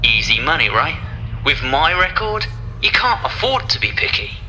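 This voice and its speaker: A man's voice: on the high side, very animated, normal speed, bright, forceful tone, soft background music, heavily acted. A man playing a guarded, street-hardened ex-convict in a video game audio log, talking in a tough colloquial way. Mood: guarded, uneasy.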